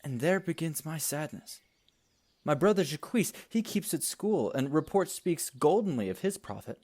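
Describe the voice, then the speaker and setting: A man's voice: fairly high pitch, animated, moderate pace, darkish tone. Guy playing a wronged young noble in a shakespeare audio play, impassioned, declaiming, defiant. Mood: brooding, aggrieved. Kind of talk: Shakespearean radio play acting.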